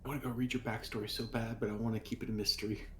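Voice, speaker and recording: A man's voice: medium pitch, flat delivery, rapid-fire, sharp tone. A man playing a tabletop role-playing game, chatting casually over some background noise.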